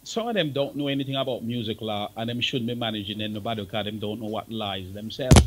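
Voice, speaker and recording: A man's voice: lowish voice, fast talker. Blunt Jamaican man, emphatic talker. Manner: blunt, emphatic, repeats himself for stress. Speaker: guest, veteran music engineer. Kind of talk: outspoken talk show discussion.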